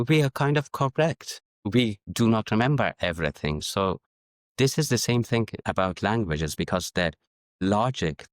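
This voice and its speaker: A middle-aged man from Afghanistan whose first language is Farsi, answering interview questions in a measured, reflective way and often restarting his sentences. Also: sits low, dry recording, studio quality. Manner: measured, searching for words with frequent restarts. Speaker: guest, diplomat and educator.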